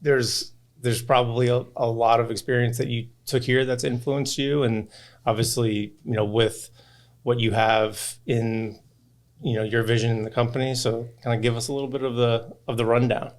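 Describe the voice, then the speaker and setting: A man's voice: mid-range voice, little pitch movement, normal speed. Man, interview host, casual talk, hesitant, filler-heavy.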